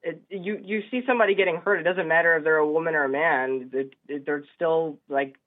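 Through a tinny telephone connection comes an eighteen-year-old man with a fairly high voice. He is a caller debating casually, halting often and restarting his sentences. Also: steady tone. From upstate New York, United States. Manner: hesitant, halting, stammering restarts. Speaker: radio show caller. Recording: narrow, tinny phone line.

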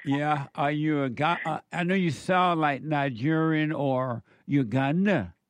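Brash talk radio host, dry sound. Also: male voice, fairly high pitch, measured pace, dry recording. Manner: brash, joking, laughs often.

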